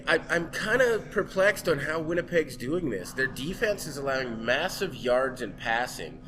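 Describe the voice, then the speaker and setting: A man from Canada hosting a casual sports chat show in a pub, slightly hoarse from a cold, easygoing and quick to laugh. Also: mid-range voice, sing-song. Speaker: host.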